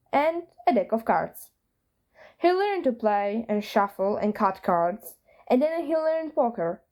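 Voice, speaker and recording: A woman's voice: on the high side, a little mellow. A woman reading a story aloud as a scripted narration. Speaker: fan fiction reader.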